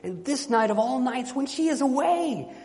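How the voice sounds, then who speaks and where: A man voicing an anguished, bound knight under enchantment in a storybook reading, pleading forcefully in formal, old-fashioned speech. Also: on the high side, lively intonation, normal speed, natural voice. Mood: desperate pleading. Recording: long hall reverberation.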